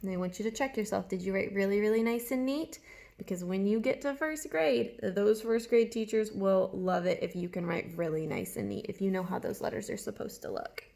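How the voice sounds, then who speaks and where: Creaky-voiced woman teaching young children. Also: mid-range voice, darkish tone. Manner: instructional, guiding, asks questions and spells words out. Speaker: early elementary teacher. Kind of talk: read-along writing lesson for young children.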